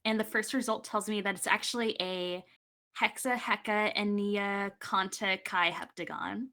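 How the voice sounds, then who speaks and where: A woman's voice: medium pitch, steady tone, unhurried, sharp tone. A woman bantering casually as a podcast panelist, with a wry, playful way of talking.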